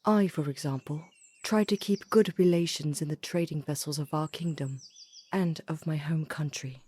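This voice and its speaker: Woman as a husky, devoted queen consort. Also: deep voice, moderate pace, darkish tone. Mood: fond. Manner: polite, composed. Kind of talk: scripted fantasy audio roleplay.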